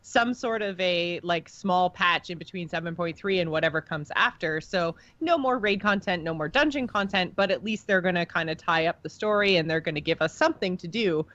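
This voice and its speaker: Low-voiced woman chatting on a dry podcast. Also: brisk, hardly any pauses, fairly bright tone, dry recording, dull audio. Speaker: podcast co-host. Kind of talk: casual gaming podcast discussion.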